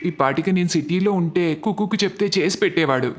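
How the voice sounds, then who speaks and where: A man playing a wealthy, generous brother-in-law from the city in an animated family story, earnest and assured. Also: high-pitched, quick pace, natural voice. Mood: casual, hopeful.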